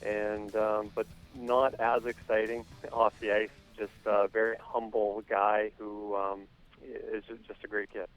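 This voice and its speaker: A man speaking as a hockey coach in a phone interview, answering in a hesitant, measured way with many pauses. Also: fairly low pitch, flat delivery, rough recording. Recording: phone interview with music underneath.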